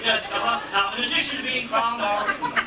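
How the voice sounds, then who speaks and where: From far off in a very echoey hall, a gushing 1950s game show host played by a man works a loud crowd. His patter is high-pitched, grandiose and showy, drawn out for the stage. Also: a little fast. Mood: gushing, excited. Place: theater stage before a live audience. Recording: echoey hall, distant mic, noisy amateur videotape.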